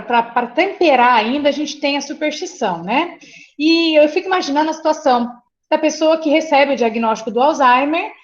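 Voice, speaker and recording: A woman's voice: high-pitched, lo-fi sound. A woman moderating a webinar, thinking aloud with hesitant restarts on a video call.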